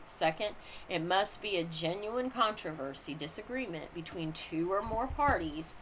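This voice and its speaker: A woman reads legal commentary aloud in a deliberate, formal manner. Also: on the low side, lively intonation, fairly bright tone, a little hiss or hum, rough recording. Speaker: audiobook-style reader.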